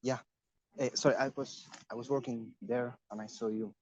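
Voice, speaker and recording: A man's voice: medium pitch, fairly even intonation, moderate pace, darkish tone, dull audio, a little hiss or hum. Hesitant, apologetic man. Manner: hesitant, apologetic, laughs nervously. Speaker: coaching client. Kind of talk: casual chat.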